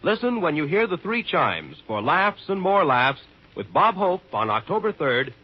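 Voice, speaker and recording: A male 1950s radio announcer delivers upbeat promotional copy. His voice is fairly high and somewhat rough, with showy, swinging intonation. The old broadcast recording is muffled. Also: sing-song, moderate pace.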